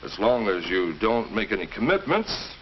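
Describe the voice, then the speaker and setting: A middle-aged American man playing a calm, understanding father, measured and reassuring, in a 1950s educational film. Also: lowish voice. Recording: dull, noisy old film soundtrack.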